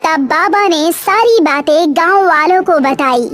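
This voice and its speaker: A woman's voice: very high voice, unhurried, fairly bright tone, dry recording, studio quality. A woman narrating a scripted moral story for children.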